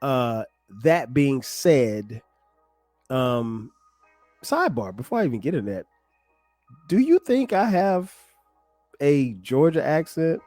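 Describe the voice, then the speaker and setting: A middle-aged man from South Carolina talks through his own podcast in an easy, rambling way. He drops casual slang and fills pauses with ums. The mic is close and the sound is dry, with faint music behind him. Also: medium pitch, big pitch swings, a little mellow, no room sound. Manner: loose and chatty, lots of ums and slang. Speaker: podcast host. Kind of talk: casual solo podcast talk.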